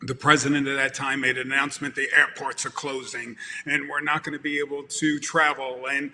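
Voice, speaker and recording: A man in his fifties with a Brooklyn accent, giving an animated motivational talk over a video call and repeating words for emphasis. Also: medium pitch, a little fast, long reverb tail, slight background noise. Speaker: event producer speaking to a conference. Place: home room with plants and lamps, indoors. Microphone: video call webcam.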